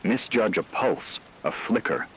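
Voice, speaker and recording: A man delivering dramatic scripted narration in a grave, measured, portentous way with deliberate pauses. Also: on the low side, expressive, slight background noise, poor audio. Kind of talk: dramatic scripted narration in the manner of a radio story.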